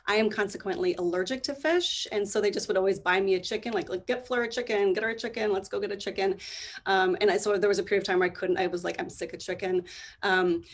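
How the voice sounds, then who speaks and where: A woman in her thirties from Seattle speaks from her living room over a video call. She introduces herself in a friendly, chatty way, at a middling pitch. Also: fairly even intonation, fast. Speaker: panel guest. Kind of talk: casual introduction.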